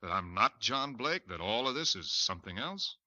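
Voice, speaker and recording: A man's voice: on the low side, sing-song, normal speed, pushed and bright, dry recording, exaggerated voice. An actor playing a frightened young man in a 1940s radio drama, anxious and stammering over his words. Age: young adult. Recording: dull lo-fi vintage radio recording.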